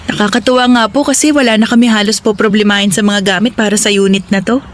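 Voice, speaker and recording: A woman's voice: medium pitch, fairly even intonation, fast talker, lightly acted. An actress playing a polite, humble young wife and mother in a Filipino radio drama, speaking earnestly and deferentially. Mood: grateful. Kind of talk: Tagalog radio drama acting.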